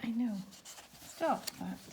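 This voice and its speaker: Slow-paced woman reading a picture book. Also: a little high, background noise. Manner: expressive, chatty asides to listeners. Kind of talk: children's story narration.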